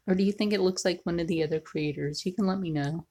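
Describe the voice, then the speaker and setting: A woman in her forties chatting casually to viewers while she draws, often sinking into a low whisper. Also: dark tone. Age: 40s. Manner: slow, frequently drops into a whisper. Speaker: video creator. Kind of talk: casual livestream chat while drawing.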